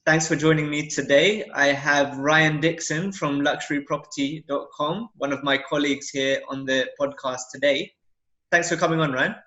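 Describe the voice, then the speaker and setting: Brief: young British male podcast host, bright forward voice, casual questioning, echoey video call. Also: a little high, steady tone, moderate pace. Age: young adult. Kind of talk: casual interview. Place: room at home, indoors. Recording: roomy, dull video-call audio.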